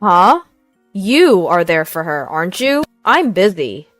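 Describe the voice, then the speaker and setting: A woman's voice: fairly low pitch, big pitch swings, slow pace, dry recording. A woman acts out a callous husband in a narrated family drama. He is brusque and dismissive, shrugging off responsibility, over faint music. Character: callous, irresponsible husband, adult man. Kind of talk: acted dialogue in narrated story.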